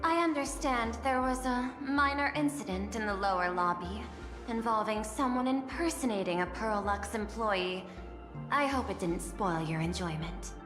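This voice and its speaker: A woman's voice: a little high, normal speed, roomy sound, long reverb tail, over music, lightly acted. A woman voicing a composed, formal, analytical company executive in a video game, precise and poised. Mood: gracious.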